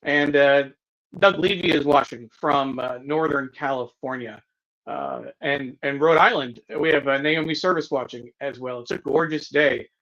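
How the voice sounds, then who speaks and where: A man co-hosting a livestream, making announcements and greeting viewers in a casual, halting way. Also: medium pitch, a bit bright. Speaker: co-host of a news discussion livestream. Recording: dull, lo-fi livestream audio.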